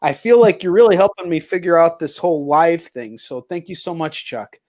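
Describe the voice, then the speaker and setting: Guy hosting a live chat show, voice a bit high, loose slangy talk. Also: moderate pace, darkish tone. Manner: informal, slangy, peppered with "like" and "you know". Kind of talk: casual video chat interview.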